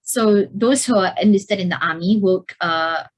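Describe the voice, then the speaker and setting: A woman's voice: medium pitch, moderate pace. Young female student, hesitant presenter with lots of fillers, video call. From Singapore. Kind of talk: presentation over slides.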